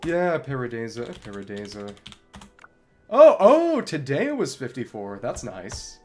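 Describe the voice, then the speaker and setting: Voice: medium pitch, huge pitch swings, unhurried, faint music behind. A game streamer chatting casually over gameplay, thinking aloud in a wry way. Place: indoors at a computer.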